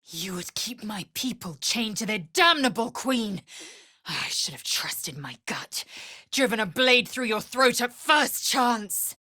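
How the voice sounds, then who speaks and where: A woman's voice: on the high side, expressive, takes it slowly, sharp tone, no room sound, clean studio sound, exaggerated voice. A woman voicing a proud, fierce alien warrior woman in a video game, with an imperious, clipped and contemptuous delivery. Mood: stern, resolute.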